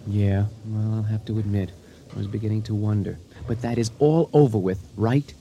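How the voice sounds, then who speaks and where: An actor in a radio drama playing an anxious husband who tries to stay rational and reassuring. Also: male voice, on the low side, steady tone, normal speed, warm tone, close to an everyday voice. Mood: uneasy, sheepish. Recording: slightly noisy 1980 radio drama recording.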